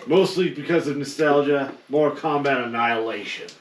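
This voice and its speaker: A man's voice: medium pitch, fairly quick. A man co-hosting a podcast in casual, sometimes profane chat. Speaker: podcast co-host. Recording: echoey room, distant mic, faint noise.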